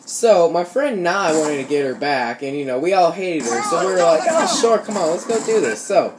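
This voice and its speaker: A man's voice: fairly high pitch, very animated, moderate pace. Young American guy storytelling over noisy gameplay. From Ohio, United States. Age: teenager or young adult. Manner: slangy, frequent swearing. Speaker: gaming YouTuber. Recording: noisy home recording, gameplay sounds behind. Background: shooter game sounds.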